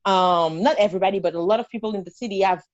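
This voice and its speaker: A woman from Haiti with a Haitian accent, talking as an interview guest in an animated way with fillers and restarts. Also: mid-range voice, rapid-fire, no room sound, highs cut off. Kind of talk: conversational interview.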